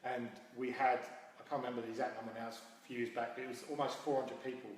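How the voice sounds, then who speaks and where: A man's voice: medium pitch, little pitch movement, fast. Echoey man, middle-aged coach. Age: 40s. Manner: informal, anecdotal storytelling. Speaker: guest, MMA coach and founder. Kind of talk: casual interview answers. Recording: very echoey hall, distant mic, faint noise.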